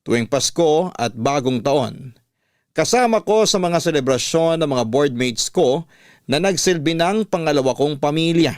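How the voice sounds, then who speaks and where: A man's voice: fairly high pitch, lively intonation, a little slow, darkish tone, dry recording, clean studio sound. A man reading a first-person life story as a radio storyteller, in scripted dramatic narration.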